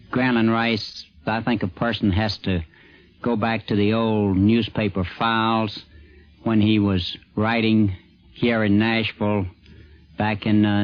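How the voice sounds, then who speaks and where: A man giving halting, thoughtful recollections in an interview. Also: on the low side, no echo. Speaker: newspaper sports editor. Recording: dull 1950s radio tape.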